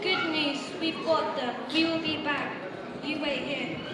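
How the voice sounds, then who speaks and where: A woman in an amateur stage play acting a brisk, curt police officer who gives orders. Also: sits high, fairly even intonation. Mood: commanding. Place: large echoey indoor hall, far from the mic. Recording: distant, very echoey hall with audience noise.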